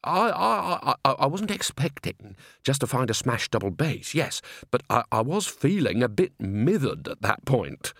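Flustered theatre manager, stammers, narrator voices him, dry close sound. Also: male voice, mid-range voice, sing-song, moderate pace, creaky, hardly any pauses, a bit bright, no echo, exaggerated voice.

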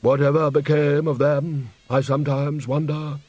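A man reading aloud and voicing an older male opera director who speaks slowly and wistfully. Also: a little high, level tone, dark tone, slightly rough, no room sound. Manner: slow, wistful, nostalgic.

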